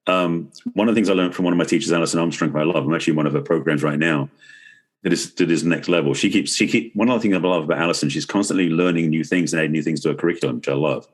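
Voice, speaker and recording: A man's voice: a bass voice, very fast, slightly rough. A man speaking as a podcast guest in a conversational interview, explaining things earnestly. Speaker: podcast guest, relationship expert and author.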